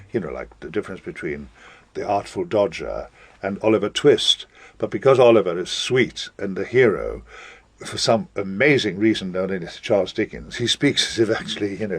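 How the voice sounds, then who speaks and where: A man's voice: low voice, breathy edge, dry recording. A man speaking casually in an interview, in a discursive, literary way, stressing words for emphasis.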